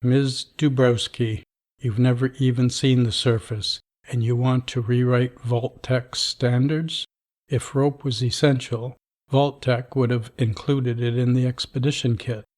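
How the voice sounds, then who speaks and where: A man voicing a middle-aged vault overseer in an audio drama, dry and dismissive, clinging to the rules. His voice sits at an ordinary pitch, and he hands down orders in a flat, bureaucratic manner. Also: a little slow, natural voice. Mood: stern. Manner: dry, rule-bound, dismissive.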